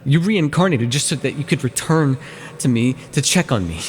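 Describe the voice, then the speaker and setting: A man playing an ancient, brooding immortal villain in an intimate fantasy audio roleplay, with a halting, dramatic, gravelly delivery. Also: medium pitch, lively intonation, lightly acted. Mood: agitated, worried. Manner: slow, halting, dramatic delivery with sighs and pauses. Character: ancient immortal villain, brooding, tormented, tender toward beloved mortal.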